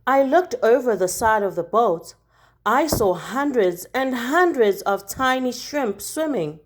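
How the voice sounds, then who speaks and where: A woman reads a children's story aloud at a slow, careful pace. Her voice sits fairly high and moves up and down in a lively way, leaning on key words for drama. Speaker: teacher reading a picture book aloud.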